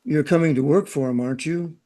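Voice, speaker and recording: A man's voice: a little high, fairly quick, mellow, natural voice. A man acting in a radio drama as a chatty, knowing, worldly man with a breezy, opinionated way of talking. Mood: probing, sly.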